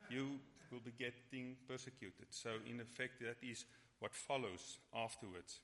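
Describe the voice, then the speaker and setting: A man whose first language is Afrikaans leads a church Bible study and prayer, speaking haltingly with frequent restarts. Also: mid-range voice, a bit bright. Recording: long hall reverb.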